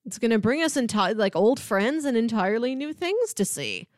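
A woman's voice: on the high side, lively intonation, normal speed, no room sound, studio quality. A woman co-hosting a casual podcast, chatting in an enthusiastic, effusive way. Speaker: podcast co-host.

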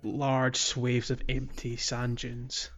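A man's voice: medium pitch, completely flat delivery, takes it slowly, a bit bright, dull audio, faint music behind. A man hosting a casual gaming podcast, chatty and steering the conversation. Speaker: podcast host.

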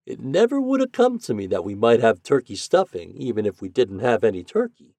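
A man's voice: medium pitch, big pitch swings, brisk, a little mellow, no room sound, pitched above the performer's own voice. Man voicing a folksy, affectionate old grandmother. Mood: affectionate, amused. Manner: folksy, homely rural speech. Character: affectionate old country grandmother, contented and encouraging.

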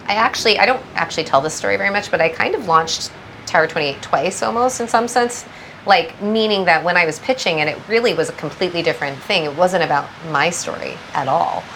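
A woman's voice: low-pitched, background noise. A woman from Southern California, a guest brand founder, talking reflectively in a casual podcast interview and thinking aloud.

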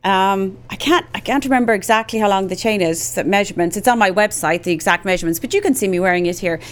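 A woman's voice: medium pitch, fast. Chatty Cork-accented woman host. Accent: Irish, Cork. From Ireland. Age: 40s. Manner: chatty, rambling, with many fillers. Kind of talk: live-streamed casual chat.

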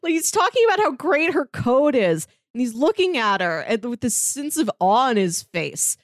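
A woman's voice: fairly high pitch, big pitch swings, normal speed, no room sound, clean studio sound. A woman co-hosting a TV podcast, talking through the season in a chatty, analytical way and laughing now and then. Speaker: podcast co-host. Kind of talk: casual TV recap chat.

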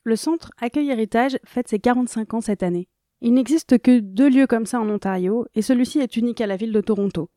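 A woman radio reporter narrating a scripted news report in an even, composed reading. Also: on the high side, a little fast, no room sound, clean studio sound.

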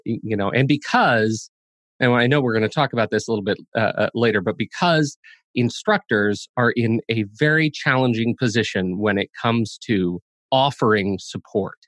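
A man's voice: mid-range voice, moderate pace, fairly bright tone, no room sound. Wry man hosting a podcast, close-miked. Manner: wry, joking, emphatic. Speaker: podcast co-host, former college instructor. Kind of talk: casual conversational podcast hosting.

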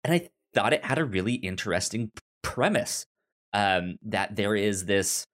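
A man co-hosting a casual film podcast, speaking haltingly and often stuttering over repeated words. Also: fairly low pitch, animated, fairly bright tone. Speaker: film review podcast co-host. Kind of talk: casual chat.

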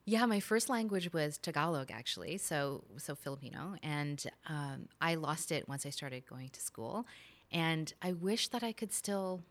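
A woman's voice: sits low, lively intonation, a little slow. A woman hosting a podcast in a casual, conversational interviewing style. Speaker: podcast host.

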